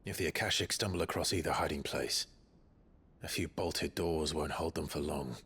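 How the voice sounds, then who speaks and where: Deep-voiced, grave warrior leader. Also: male voice, moderate pace, fairly bright tone, breathy edge, exaggerated voice. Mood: urgent, resolute. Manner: terse, decisive, low-key. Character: grave, resolute male warrior leader, protective brother. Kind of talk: cinematic game dialogue.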